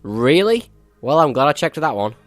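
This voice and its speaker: A man's voice: fairly high pitch, big pitch swings, normal speed, no echo, soft background music. A male gaming channel host giving a matter-of-fact, explanatory walkthrough of a game update.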